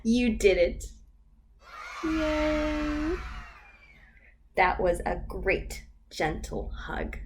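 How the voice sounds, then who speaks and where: A woman leading a storytime for babies as a children's librarian, reading in an exaggerated sing-song way with gasps and hushed whispers. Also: on the high side, lively intonation, extremely slow, pauses often, a little hiss or hum.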